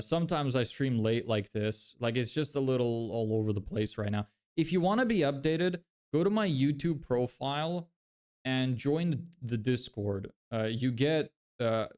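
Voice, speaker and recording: A man's voice: mid-range voice, normal speed, darkish tone, clear, resonant tone. Rambling man, native Lithuanian speaker. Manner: rambling, many fillers and restarts. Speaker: livestreamer reading chat. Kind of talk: casual livestream chat.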